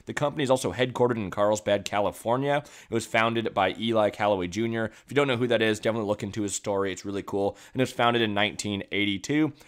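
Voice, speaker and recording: Fast-talking man with a stuffy nose. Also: fairly low pitch, clean tone. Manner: quick, loose delivery with fillers and self-corrections. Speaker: stock analysis YouTuber. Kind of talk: casual screen-share commentary.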